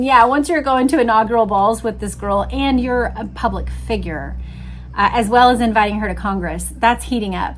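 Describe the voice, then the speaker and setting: An American woman hosting a book readalong, reading history aloud and adding casual, conversational commentary. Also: mid-range voice. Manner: conversational, chatty asides.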